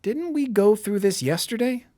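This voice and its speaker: An actor playing an awkward, guarded loner in an audio drama, polite but on the defensive. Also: male voice, high-pitched, lively intonation, normal speed, dark tone. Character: guarded, awkward loner man who talks to his gourd.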